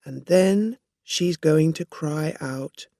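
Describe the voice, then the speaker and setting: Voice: medium pitch, slow pace, gravelly, warm tone, dry recording, clean studio sound. A narrator playing a shrewd, assertive woman making accusations in a storybook reading. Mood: accusing, firm.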